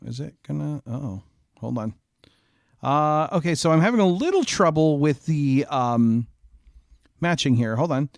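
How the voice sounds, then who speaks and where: Animated man with a creaky voice. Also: a little high, measured pace, no echo, studio quality. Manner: animated, informal, reacting aloud. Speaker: podcast host playing a voice game. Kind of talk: casual chat.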